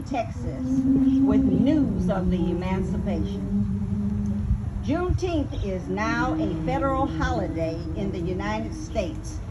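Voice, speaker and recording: Slow-reading woman in very loud noise. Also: medium pitch. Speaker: narrator of a dramatic reading. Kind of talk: scripted historical narration, read aloud. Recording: dull, very noisy live recording.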